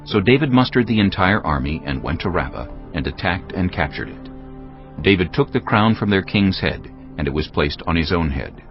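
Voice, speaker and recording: Male narrator, very low gravelly voice, scripture storytelling, slightly muffled with music behind. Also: lively intonation, moderate pace. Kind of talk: scripted dramatized Bible narration.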